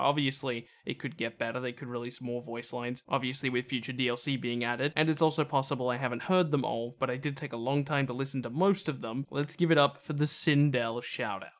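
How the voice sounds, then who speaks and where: A man's voice: mid-range voice, a bit bright. A man hosting a video countdown with casual, opinionated, conversational commentary. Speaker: video host.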